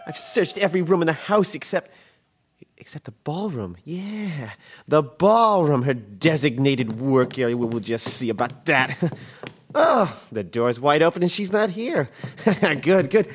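A man's voice: fairly high pitch, expressive, measured pace, breathy edge. A man playing a nervous, giddy, lovestruck henpecked husband in an old-time radio drama, stammering and exclaiming. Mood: giddy, excited. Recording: live radio play with music behind.

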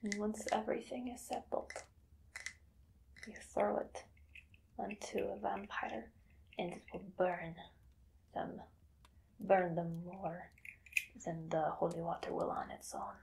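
A woman playing a menacing, obsessive female vampire hunter in a whispered ASMR roleplay, slow and deliberate. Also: medium pitch, lively intonation, vocal fry, a little hiss or hum, poor audio. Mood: coolly menacing. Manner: slow, hushed, deliberate, dramatic pauses. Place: small dim room indoors.

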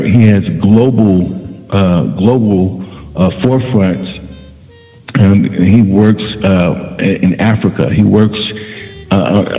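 A man's voice: on the low side, big-room sound, dull audio. A man hosting an online talk show, praising and introducing guests with many fillers. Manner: effusive, repetitive praise with fillers.

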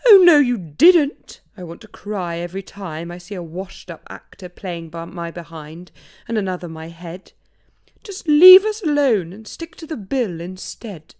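A woman's voice: on the low side, animated, measured pace, mellow. A woman voicing a grumbling cow that is fed up with pantomimes, in a storybook poem. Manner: frowning, grumbling.

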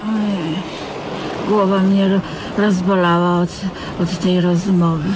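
A woman's voice: fairly low pitch, little pitch movement, slow. An actress playing an exasperated, fussy woman in a comedic radio play, explaining things with flustered stammers. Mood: weary, irritated. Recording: noisy old radio play recording.

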